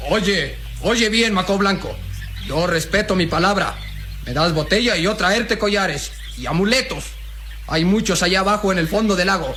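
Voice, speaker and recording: An eager, gullible young villager in a melodramatic radio serial. His voice is fairly high and pleading, with simple broken grammar and repeated words. Birds and animal calls sound faintly behind him. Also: normal speed, bright, forceful tone. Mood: pleading, insistent. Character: eager naive young jungle villager craving liquor. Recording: noisy jungle ambience with animal calls.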